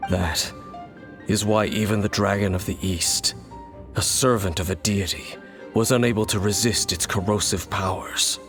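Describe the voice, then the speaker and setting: A grave, mysterious swordsman voiced by a man, his lowish voice solemn and measured. He speaks with quiet authority over faint game music. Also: close to an everyday voice.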